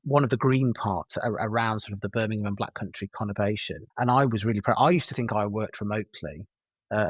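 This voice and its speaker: Hesitant West Midlands man, low voice. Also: dry recording, lo-fi sound. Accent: West Midlands English. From West Midlands, England. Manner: hesitant, many fillers and restarts. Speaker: paramedic lecturer. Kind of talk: podcast interview answers.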